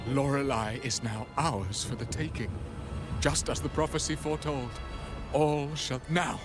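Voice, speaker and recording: An actor playing a fanatical, gloating cult villain in a video game, speaking in a solemn, grandiloquent, theatrical way. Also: male voice, mid-range voice, unhurried, over music, lightly acted. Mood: fanatical triumph.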